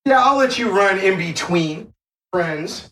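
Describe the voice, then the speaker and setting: A man's voice: high-pitched, animated, takes it slowly, sharp tone. A man narrating a tabletop game as the game master. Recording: clearly noisy voice track with clicks.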